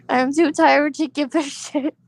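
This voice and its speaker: A woman's voice: sits high, fairly even intonation, slow. A twenty-year-old woman chatting casually and profanely, tired after work, calling friends bestie. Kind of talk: casual stream chat.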